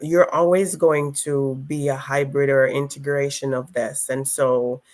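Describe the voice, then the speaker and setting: A woman's voice: a bass voice, little pitch movement, darkish tone. Caribbean woman author guest, thoughtful, filler-heavy. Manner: thoughtful, measured, with many fillers. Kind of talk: conversational interview.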